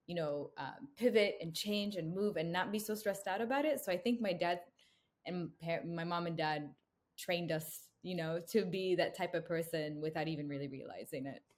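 A young woman from the United States with a low voice, speaking as a guest in a relaxed interview. She talks earnestly and at length, leaning on "you know" as a filler. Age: young adult. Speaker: guest, brand co-founder. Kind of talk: casual interview chat.

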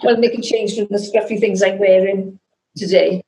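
A woman's voice: medium pitch, fairly even intonation, normal speed, warm tone, low-quality recording. A woman, co-hosting a podcast and answering with wry humour. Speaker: co-host.

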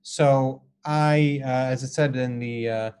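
An American man gives an informal online lecture as a teacher, speaking haltingly with frequent ums and pauses over a video call. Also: mid-range voice, fairly even intonation, darkish tone, clear, resonant tone. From Israel and Colorado, US. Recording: Zoom call audio.

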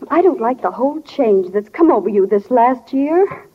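A woman's voice: on the high side, expressive, moderate pace, slight background noise, lightly acted. An actress playing an earnest, prim schoolgirl in 1940s radio drama, speaking in a forthright way. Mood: hurt, earnest. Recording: dull, lo-fi 1940 radio recording.

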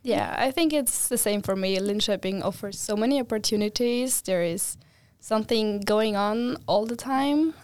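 A young woman from Austria talks as a guest on a student podcast in a relaxed, chatty way. Her voice sits in the middle range and the sound is close and dry. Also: mid-range voice, moderate pace, clean tone, dry recording. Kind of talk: casual podcast chat.

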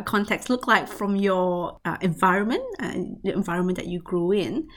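A woman's voice: mid-range voice, fairly bright tone. A woman from Malaysia hosting a podcast, supportive, asking long winding questions. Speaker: podcast host. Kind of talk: interview hosting.

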